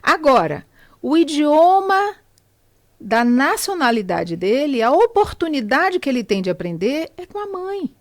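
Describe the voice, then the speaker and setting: A middle-aged Brazilian woman speaking Brazilian Portuguese as a podcast guest, explaining things deliberately and stressing key words. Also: high voice, very animated, no echo, clean studio sound. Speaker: podcast guest, consular service provider. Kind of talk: conversational interview, explaining.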